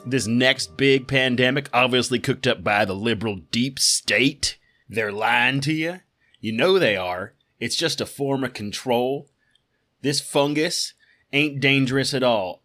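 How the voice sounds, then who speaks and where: A man playing a bright, forceful folksy conspiracy ranter. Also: medium pitch, natural voice. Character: folksy, ranting conspiracy-peddling American media host hawking quack cures. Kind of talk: satirical podcast rant.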